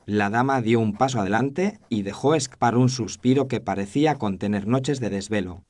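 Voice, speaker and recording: A man's voice: medium pitch, moderate pace, a little mellow, no echo. A man narrating a scripted first-person detective story in a formal, literary storytelling manner.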